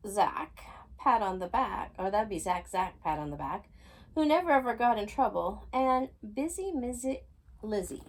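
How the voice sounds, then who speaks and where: Animated woman reading a children's story. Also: fairly high pitch. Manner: animated, chatty asides to the listener. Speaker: storytime reader. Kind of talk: expressive children's storybook narration.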